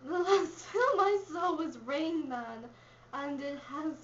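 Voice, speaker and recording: A woman's voice: high-pitched, lively intonation, takes it slowly, muffled recording. Brief: giggly co-host woman, English-Scottish mix, faint hiss behind her. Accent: mixed English and Scottish. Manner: giggly, teasing. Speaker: vlog co-host. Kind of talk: casual chat.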